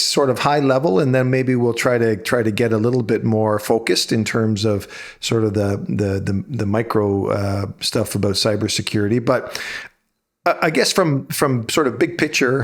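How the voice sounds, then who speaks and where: A man hosting a podcast interview over a video call, asking long, rambling questions full of restarts. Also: medium pitch. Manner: rambling, many fillers and restarts. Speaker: podcast host.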